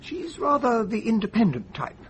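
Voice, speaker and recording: An actor playing an amiable, slightly bashful British gentleman companion with a husky voice in a radio mystery. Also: high voice, sing-song, normal speed, exaggerated voice. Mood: bashful, eager.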